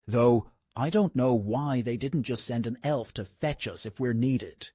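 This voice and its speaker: Man playing a proud young wizard heir, slightly husky. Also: mid-range voice, measured pace, warm tone, no room sound, studio quality. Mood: proud, casual. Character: proud, privileged young wizard boy, earnest. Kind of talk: comic dub voice acting.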